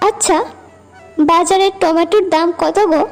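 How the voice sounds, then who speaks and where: Young village wife for a cartoon, female performer: tiny high voice, attentive, resourceful, caring. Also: steady tone, moderate pace, soft background music. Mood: eager, practical. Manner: practical, attentive.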